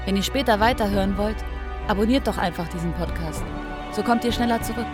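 A woman's voice: mid-range voice, brisk. Woman announcer over music. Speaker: podcast announcer. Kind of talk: scripted outro narration.

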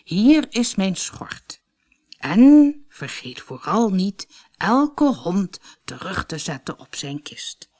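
A woman narrator puts on an old witch from a fairy tale. She coaxes and instructs at an unhurried pace, with a temper underneath and a voice that swings widely in pitch. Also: very animated, a little mellow. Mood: coaxing, instructing. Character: old witch woman, coaxing, then furious when refused. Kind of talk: storybook character voice.

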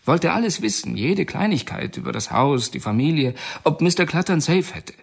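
A husky voiced prisoner confides in a radio play, his voice rising and falling with lively swings. He sounds uneasy and confessional, as if owning up to something he regrets. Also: fairly high pitch, normal speed, full character voice. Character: Floyd Wells, male prisoner and informant. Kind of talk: radio drama acting.

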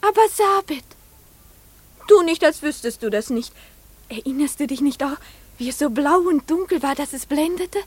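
A woman's voice: high voice, animated, normal speed, no echo. A woman playing a dreamy little girl in a radio play, coaxing and wistful.

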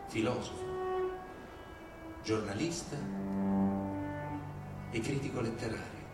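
A man recites slowly in a theatrical style, his voice set back from the microphone. The space is heavily echoing, and loud cello music plays with him. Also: mid-range voice, distant mic. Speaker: stage performer.

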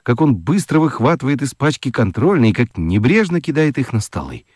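A man giving an expressive, theatrical scripted narration of a children's story. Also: medium pitch, a little fast, husky, dry recording, clean studio sound.

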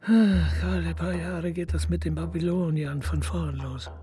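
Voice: mid-range voice, steady tone, measured pace, dark tone, lightly acted. An actor playing a young, doubting, war-weary king in a German radio drama, with petulant, whining refusals.

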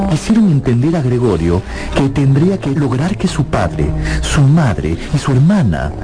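A man narrating a dramatised radio audiobook in a theatrical, expressive storytelling manner. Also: mid-range voice, poor audio.

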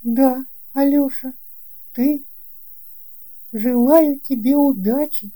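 Storybook girl, eight, played by a woman: kind and sure of herself, mellow, unhurried, gently reassuring. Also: high-pitched, voice pushed up. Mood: earnest, encouraging. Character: kind, confident eight-year-old girl who believes in fairy tales. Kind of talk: children's audio storybook dialogue.

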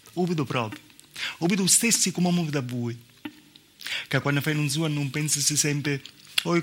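A man's voice: medium pitch, fairly bright tone, a little hiss or hum, lightly acted. A man performing a theatrical dialect monologue as a southern Italian village woman, with a Calabrian accent and an intimate, repetitive storytelling manner. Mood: hushed, recollecting. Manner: intimate storytelling with repetitions and spoken sound effects. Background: live music accompaniment.

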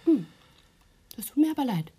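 A friendly young visitor in a radio drama. Her voice is lively in pitch and a touch husky, with a polite, cheerful lightness. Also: normal speed, exaggerated voice. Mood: considerate. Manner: polite, light, laughing easily. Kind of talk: 1980s German radio play acting.

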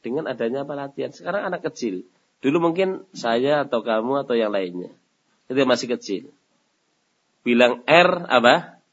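A man with a slightly husky voice teaches a religious study session. He often pauses mid-sentence with a question for his listeners to fill in. The recording sounds dull and lo-fi. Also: mid-range voice, expressive. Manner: asks rhetorical questions and lets the audience finish them. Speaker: Islamic teacher.

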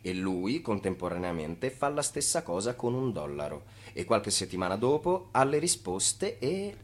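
A man's voice: fairly low pitch, big pitch swings, normal speed. A man giving theatrical scripted narration in a radio play, explaining didactically and putting rhetorical questions to listeners.

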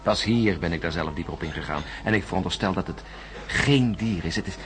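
An actor playing an embittered, suspicious astronaut in a science-fiction radio drama, emphatic and sardonic, with brooding hesitations. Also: male voice, low voice, brisk, over music, close to an everyday voice. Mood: grave, insistent. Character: embittered, suspicious male astronaut insisting on his alarming sighting.

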